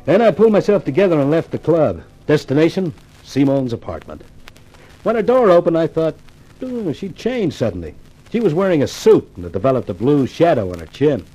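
A world-weary columnist in a fifties radio crime serial. His voice is dark and a little raspy, with lively rises and falls under the sardonic wit. He sounds like a tough guy who has seen it all. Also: a little high, a little fast, poor audio. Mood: wry narration. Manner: deadpan, sardonic wisecracking. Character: wry, world-weary male newspaper columnist, hardboiled narrator. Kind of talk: 1950s radio noir acting.

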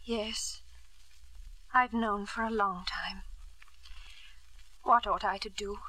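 Bright-voiced anxious wife, old radio drama. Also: female voice, medium pitch, fairly even intonation, slow pace, low-quality recording. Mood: troubled, restrained. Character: anxious, gentle middle-aged wife and devoted mother. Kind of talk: 1950s radio drama acting.

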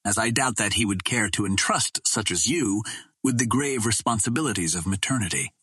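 A man's voice: on the low side, lively intonation, fairly quick, a bit bright, slightly rough, no room sound, clean studio sound. A man voicing a stern, snappish older female Martian in a formal, haughty storybook manner. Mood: scornful scolding.

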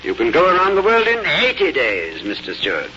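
Radio drama role: unflappable, punctilious English gentleman, animated intonation, muffled 1950s broadcast. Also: fairly high pitch, fairly quick, very noisy. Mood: coolly confident. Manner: clipped, exact, formal. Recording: dull 1950s radio broadcast, music behind.

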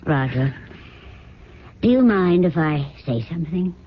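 An actress in a radio drama playing an easygoing secretary and mistress, dryly teasing and skeptical. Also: female voice, low voice, animated, a little slow, slight background noise. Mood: coaxing. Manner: dry, teasing, familiar. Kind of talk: 1970s radio drama acting. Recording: muffled old radio broadcast.

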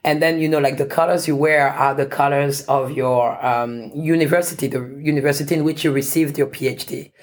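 A woman's voice: deep voice, fairly even intonation, a little fast, hardly any pauses. A woman from France, a professor speaking as an interview guest, reflecting at length with frequent restarts and fillers. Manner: thoughtful, many restarts and fillers.

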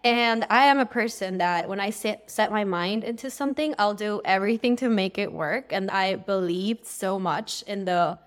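A young woman from Peru talks as a guest on a podcast. Her voice is crisp and smooth, sitting at an average pitch. She tells personal stories in a casual, candid and earnest way. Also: moderate pace, few pauses. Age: young adult.

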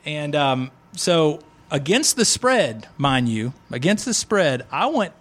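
A man's voice: a little high, very animated, slow, a bit bright. A man of forty hosting a sports podcast, joking and chatty, often restarting his sentences. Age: 40s. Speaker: podcast host. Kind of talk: casual sports podcast chat.

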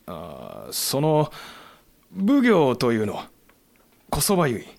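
A man's voice: mid-range voice, huge pitch swings, takes it slowly, a little mellow, exaggerated voice. An actor playing a blunt, wry samurai commander who protects his men, in a period audio drama with terse, commanding delivery. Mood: calm, wry. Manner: terse, commanding, gruff phrasing.

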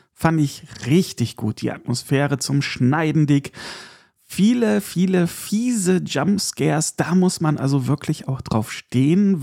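A man's voice: on the high side, gravelly, darkish tone. A man hosting a podcast, chatting informally through news and opinions and addressing the listener directly. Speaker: podcast host. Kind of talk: casual solo news and review commentary.

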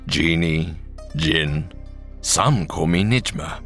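Man putting on a sneering genie, deep growl, slow mocking drawl, faint music behind. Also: fairly bright tone, full character voice. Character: sly malicious male genie spirit.

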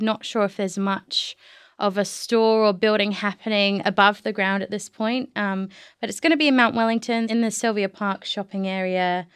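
A woman speaks as a journalist, in a clear, smooth voice at a medium pitch. The sound is very dry and close. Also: no room sound. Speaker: journalist, interview guest. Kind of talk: conversational interview and reading aloud of an article.

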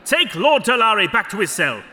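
An actor playing a stern, formal judge at a fantasy court, declaiming in an audio drama. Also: male voice, high-pitched, sing-song, normal speed, background noise. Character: commanding male judge of a fantasy noble court.

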